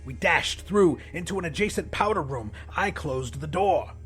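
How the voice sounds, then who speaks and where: A man's voice: a little high, expressive, normal speed, a bit bright. A man narrating a horror audiobook in the first person, with an intense, theatrical delivery.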